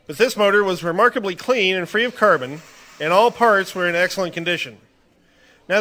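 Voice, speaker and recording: Announcer for a 1940s radio spot, upbeat and persuasive, high and bright. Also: male voice. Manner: upbeat, persuasive pitchman delivery.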